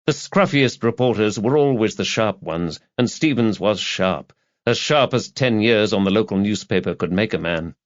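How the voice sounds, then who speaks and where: Low, animated male audiobook narrator. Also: normal speed, lo-fi sound. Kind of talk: scripted crime novel narration.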